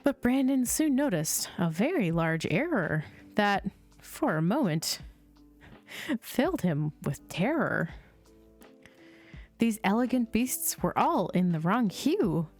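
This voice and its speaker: A woman narrates a children's bedtime story at an unhurried pace. Her pitch moves in a lively, sing-song way as she reads the lines aloud. Soft music plays behind her. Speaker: host reading children's bedtime stories. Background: chill music.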